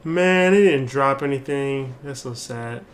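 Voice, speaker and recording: Guy streaming a game, chatting casually n joking around, normal pitch, bit of game noise. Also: a little slow. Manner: informal, joking. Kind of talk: casual live gameplay commentary. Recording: game ambience behind the voice. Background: video game sound.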